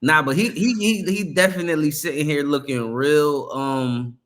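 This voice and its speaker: A man's voice: fairly high pitch, moderate pace, a bit bright. A man hosting a reaction show, talking in slangy casual commentary with frequent restarts and laughs.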